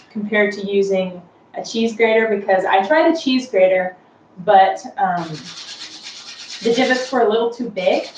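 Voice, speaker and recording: A woman giving a casual, chatty, unscripted baking how-to, explaining each step as the host with light self-deprecation. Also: medium pitch. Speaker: host of a home baking tutorial. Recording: roomy, distant mic with clear background noise.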